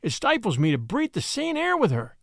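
A man voicing a proud young lady who speaks in a high-strung, indignant way. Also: sing-song, brisk, vocal fry, dry recording, studio quality. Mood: horrified.

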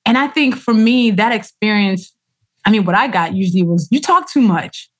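Woman, author guest, casual interview, expressive, quotes people in voices, slangy, mid pitch. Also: moderate pace. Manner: expressive storyteller who acts out quoted voices, uses slang.

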